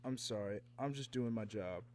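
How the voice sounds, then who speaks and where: An actor playing a cautious official in a radio drama, speaking in a hesitant, placating way. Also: male voice, lowish voice, normal speed, a little mellow, close to an everyday voice. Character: cautious, placating official calming a worried relative.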